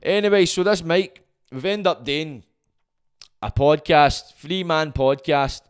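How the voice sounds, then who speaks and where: A Glaswegian man hosting his own podcast, rambling and swearing freely in a loud, booming voice. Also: slow, smooth. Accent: Scottish, Glaswegian. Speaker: podcast host. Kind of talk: casual monologue.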